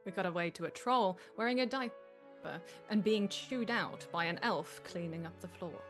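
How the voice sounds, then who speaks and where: A woman's voice: mid-range voice, normal speed, faint music behind. A woman reading stage directions as the narrator of a live script table read.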